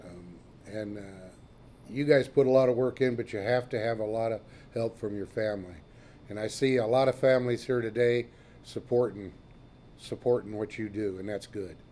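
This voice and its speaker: A man with a raspy voice giving a short, plainspoken speech of encouragement, pausing with fillers. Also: fairly low pitch, mellow, a little hiss or hum. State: raspy voice this morning. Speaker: guest speaker, veterans and business leader.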